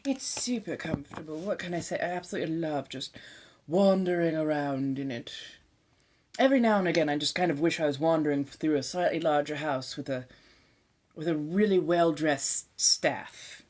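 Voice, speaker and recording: A woman's voice: deep voice, normal speed, a little mellow. A woman with a put-on 1700s colonial transatlantic accent, chatting with viewers on a live stream in a theatrical vampire persona, with ornate wording and calling people darling.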